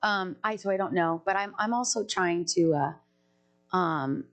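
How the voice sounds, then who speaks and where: A woman with a fairly low voice talks casually as a podcast guest. She is a singer-songwriter who rambles freely, breaks off mid-sentence and starts over. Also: measured pace. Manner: chatty, jumps between thoughts, many restarts.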